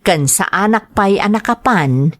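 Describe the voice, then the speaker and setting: A woman's voice: mid-range voice, lively intonation, measured pace. A woman with an Ilocano accent hosting a drama programme, reading out greetings and listener letters.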